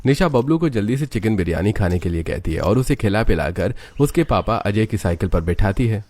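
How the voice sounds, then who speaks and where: A man's voice: lowish voice, animated, a little fast, a little mellow. A man narrating a scripted cartoon story as its storyteller.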